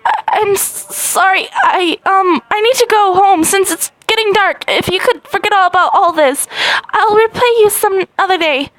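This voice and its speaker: A woman reading a story game aloud, in an excitable, dramatic way with squeaky rises. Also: moderate pace, no room sound, poor audio. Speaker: gaming channel host.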